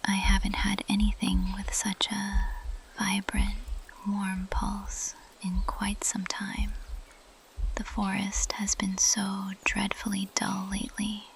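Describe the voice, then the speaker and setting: A woman voicing a possessive, seductive carnivorous plant monster in an ASMR roleplay, in a breathy, teasing and menacing whisper close to the microphone. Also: mid-range voice, completely flat delivery, a little hiss or hum, low-quality recording. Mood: curious, menacing. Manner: slow, teasing, menacing purr.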